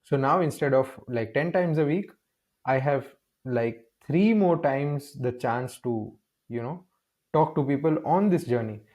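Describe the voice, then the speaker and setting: A man's voice: mid-range voice, a little slow. A young man from India, a guest in an interview, telling stories animatedly, acting out little dialogues and often restarting his sentences. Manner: animated storytelling, acts out dialogue, many restarts. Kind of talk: casual conversational interview.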